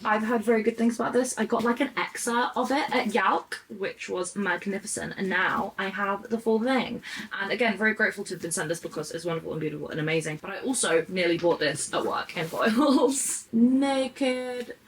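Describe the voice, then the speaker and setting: A woman book vlogger talks in a casual, chatty way with a mid-range voice. She gushes with enthusiasm as she presents her books. There is clear noise in the background of the recording. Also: medium pitch, moderate pace, poor audio. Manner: gushing, enthusiastic.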